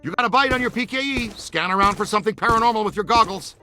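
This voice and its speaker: Earnest, animated ghost investigator. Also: male voice, sits high, fast, music in the background. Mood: excited. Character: earnest, excitable middle-aged male paranormal investigator.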